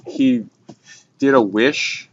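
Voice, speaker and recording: A man talking casually and hesitantly about spiritual ideas as a host, rambling and swearing now and then. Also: medium pitch. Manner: hesitant, halting, casually profane. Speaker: host explaining esoteric ideas. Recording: muffled, noisy hobby recording with clicks.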